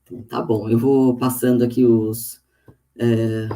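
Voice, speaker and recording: A woman's voice: very deep voice, flat delivery, unhurried, dark tone. A woman from São state, hosting an online medical livestream in a friendly, conversational way on a video call. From São Paulo state, Brazil. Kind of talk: hosting an online live talk.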